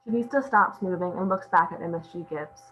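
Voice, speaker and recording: A woman's voice: fairly low pitch, a little fast, slight background noise, rough recording. A woman reading stage directions as scripted narration at a table read.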